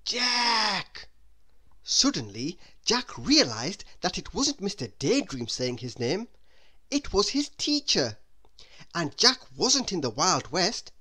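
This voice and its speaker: A woman narrates a children's story in a lowish voice with sweeping intonation. She takes her time, reading very slowly and stretching out phrase endings expressively. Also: sing-song, sharp tone, slightly rough. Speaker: storybook reader. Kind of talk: children's read-aloud narration.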